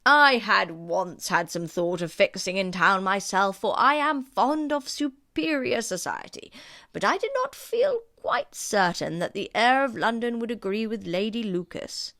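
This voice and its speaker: A woman voicing a gallant, garrulous older gentleman in an audiobook, courtly and effusively flattering. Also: mid-range voice, a little slow, full character voice. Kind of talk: audiobook character acting.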